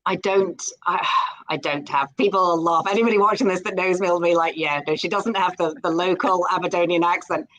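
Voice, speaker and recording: Low-voiced Scottish woman, warm and chatty. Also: steady tone, brisk, low-quality recording. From Scotland. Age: middle-aged. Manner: enthusiastic, repeats words when starting sentences. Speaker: guest. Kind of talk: live interview conversation.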